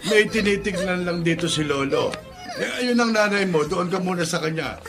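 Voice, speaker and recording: A man playing a friendly, excitable elderly grandfather in a Filipino radio drama, with a creaky voice and exclaiming, hesitant interjections. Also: high-pitched, moderate pace. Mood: fond, watchful. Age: older. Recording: music under the voice.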